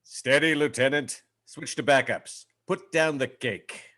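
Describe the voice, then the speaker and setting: An actor playing a pompous, duty-bound alien spaceship officer in a formal, declamatory way at a comedy table read. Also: male voice, medium pitch, a little slow, pushed and bright. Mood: commanding. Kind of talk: comic sci-fi script table read.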